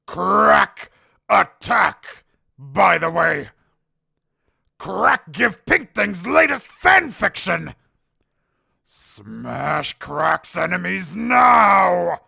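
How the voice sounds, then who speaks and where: A man voicing a dim, angry caveman warlord who shouts short, broken phrases about himself in a raspy voice. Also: sits high, dry recording, clean studio sound, exaggerated voice. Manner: shouting in clipped, broken third-person phrases. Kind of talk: comedic game-character voice lines.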